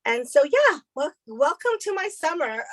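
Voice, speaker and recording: A woman from El Salvador co-hosting a casual crochet podcast, telling stories in a chatty, animated way and laughing often. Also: high voice, dry recording, low-quality recording. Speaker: podcast co-host. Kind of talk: casual chat. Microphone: video call recording.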